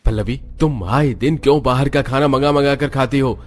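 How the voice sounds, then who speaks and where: A man's voice: mid-range voice, normal speed, slight background noise, voice pushed up, lightly acted. A man voicing an exasperated but reasonable young husband in a cartoon, insistent and pleading.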